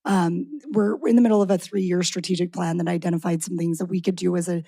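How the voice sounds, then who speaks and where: A woman with a raspy voice presenting a tourism organization's plans to a city council from a podium microphone. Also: on the low side, steady tone, warm tone, no room sound, clean studio sound. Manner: brisk presenting with occasional restarts. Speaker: tourism organization executive director. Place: council chamber.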